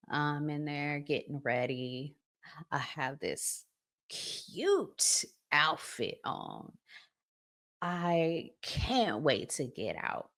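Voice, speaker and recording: Low-pitched, slow, expressive woman host. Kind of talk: relationship commentary vlog.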